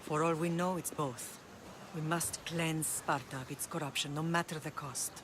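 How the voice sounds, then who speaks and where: A man's voice: fairly high pitch, steady tone, moderate pace, background noise, lightly acted. An actor voicing a grim, determined male island leader in a video game cutscene, speaking steadily and earnestly. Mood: resolute.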